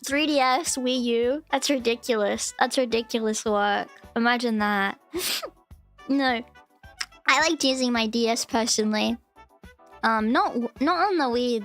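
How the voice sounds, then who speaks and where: High-voiced woman rambling on stream. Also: a bit bright. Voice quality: cute, high-pitched voice. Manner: rambling and giggly, with many restarts and fillers. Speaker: VTuber streamer. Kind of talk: casual live-stream chat. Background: faint background music.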